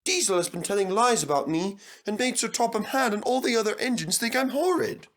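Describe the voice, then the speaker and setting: A man plays an honest, plucky little steam engine in a storybook. He is high-pitched, earnest and polite, with emphatic delivery. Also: fairly quick, fairly bright tone. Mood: aggrieved.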